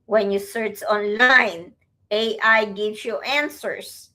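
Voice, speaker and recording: A woman's voice: medium pitch, slow pace, bright, forceful tone. A woman from the Philippines co-hosting a casual live stream, chatty and sometimes searching for words. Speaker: co-host.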